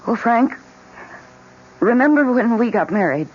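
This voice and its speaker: An actress playing a lonely, timid, pleading housewife in an old-time radio drama, speaking plaintively and with emotion. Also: female voice, on the high side, sing-song, a little slow. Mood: earnest, pleading. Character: lonely, timid, pleading housewife who talks to her plants. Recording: dull, noisy old radio recording.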